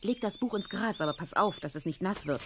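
A woman playing a resolute, dryly witty adult who gives brisk orders in a radio play. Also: mid-range voice, noisy background, natural voice. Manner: brisk, commanding, deadpan. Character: resolute, dryly witty aunt with secret know-how. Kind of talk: children's radio play acting.

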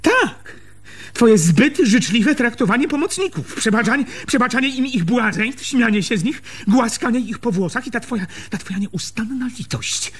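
An actor in a Polish radio play, playing a stubborn, jealous man with an emphatic, halting way of speaking. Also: very high-pitched, animated, a bit bright, breathy edge, exaggerated voice. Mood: accusing. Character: stubborn, jealous, stern man arguing with his lover.

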